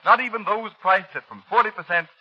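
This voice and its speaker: A man's voice: high-pitched, fairly quick. A man announcing a scripted commercial in the style of 1930s radio. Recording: muffled 1930s radio recording.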